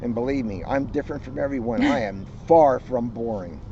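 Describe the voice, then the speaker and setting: A man's voice: mid-range voice, sing-song, a little fast, creaky, dark tone. A man with an American accent, a police officer reading a children's storybook aloud and chatting informally outdoors. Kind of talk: storybook read-aloud and informal community talk. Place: outdoors by a street. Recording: noisy, slightly muffled outdoor recording.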